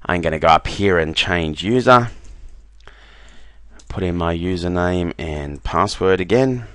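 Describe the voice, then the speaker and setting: A man talking through a software demo over a screen recording, relaxed, with frequent "uh" fillers and doubled words. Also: deep voice, unhurried, no room sound, clean studio sound. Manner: relaxed, repeats "very, very" and fills with "uh". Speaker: software demonstrator.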